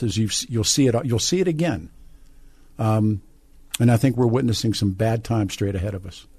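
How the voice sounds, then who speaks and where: An American man hosting a call-in radio show, who talks discursively, halting and repeating words as he thinks aloud. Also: medium pitch, dark tone, husky, no room sound. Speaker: radio talk show host. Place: radio studio. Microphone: close studio microphone.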